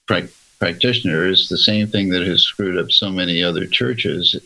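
A man explaining his views earnestly and haltingly in a religious group discussion. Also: low-pitched, steady tone, creaky, bright, forceful tone, a little hiss or hum, low-quality recording.

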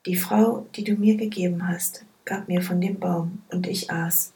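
A woman reading Bible passages aloud in a soft, hushed, measured way. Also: lowish voice, fairly even intonation, poor audio. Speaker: scripture reader. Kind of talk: slow, quiet Bible reading.

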